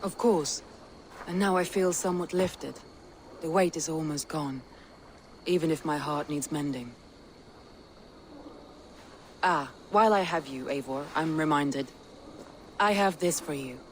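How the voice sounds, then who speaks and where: An actress playing a noble Viking leader in a video game, speaking in an earnest, formal and resolute way. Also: female voice, low voice, unhurried, long pauses, a little hiss or hum. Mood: grateful. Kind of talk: dramatic video game acting.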